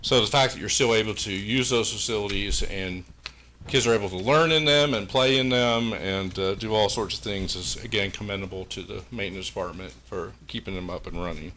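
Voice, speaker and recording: Male presenter, booming and forceful, folksy with fillers, slightly low-pitched. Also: brisk, a little hiss or hum. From Pulaski County, Virginia, USA. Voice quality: big booming voice. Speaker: engineering firm presenter. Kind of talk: presentation to a school board. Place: public meeting room. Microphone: meeting room microphone.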